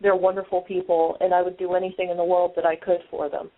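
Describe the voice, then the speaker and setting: Co-host: an earnest woman, slightly low voice, phone-thin sound. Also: level tone, fast talker, phone-quality sound. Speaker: podcast co-host. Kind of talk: casual podcast chat.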